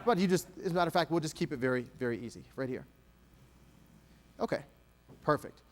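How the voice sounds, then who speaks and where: Male keynote speaker from Texas, high and lively delivery, playful with the crowd, dramatic pauses. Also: a little hiss or hum. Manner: animated, dramatic pauses, slow emphatic dictation; playful, jokes with the audience. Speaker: motivational speaker. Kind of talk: keynote speech to an audience.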